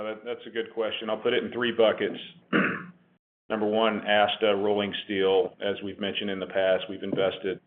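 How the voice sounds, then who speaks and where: A man speaking formally as a company executive on an earnings call over a telephone line. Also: low voice. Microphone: telephone conference line. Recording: narrow phone-line conference call audio.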